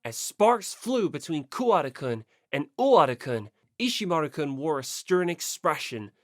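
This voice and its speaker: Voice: mid-range voice, sing-song, normal speed, dry recording, studio quality. An audiobook narrator reading a first-person story expressively.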